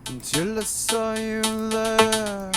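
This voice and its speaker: Slow high female singing over loud music. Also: steady tone. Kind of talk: singing a repeated vocal sample. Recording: music over a played-back sample.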